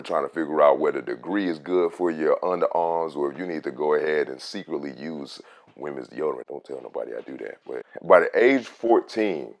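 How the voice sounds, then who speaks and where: A man with a very deep, rich voice, a radio host telling a casual story about his own voice. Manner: animated, swings loud on emphasis.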